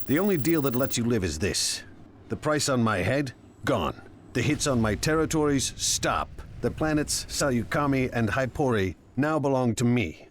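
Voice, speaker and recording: A man's voice: mid-range voice, expressive, moderate pace, a little hiss or hum, natural voice. A man playing a ruthless, ambitious crime boss in a video game, speaking in a smug, imperious, commanding way. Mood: smug, threatening. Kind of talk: cinematic video game acting.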